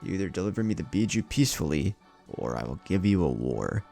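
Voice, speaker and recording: A man plays an undead old warlord of anime fan fiction, amused and menacing. He drawls his calm taunts in a low, gravelly voice over music. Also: fairly quick, exaggerated voice. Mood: calm menace.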